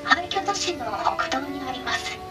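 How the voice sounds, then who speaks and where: A woman voicing a gentle, courteous and helpful robot villager in a Japanese game. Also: high voice, expressive, a little slow. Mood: polite, helpful. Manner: courteous, soft-mannered. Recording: loud music behind.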